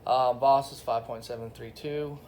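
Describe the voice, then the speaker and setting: A man's voice: mid-range voice, fairly even intonation, normal speed, a little mellow. Man reviewing gear casually over noisy sound. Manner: informal, addresses viewers as y'all and guys. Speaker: product reviewer. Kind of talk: casual unscripted product review.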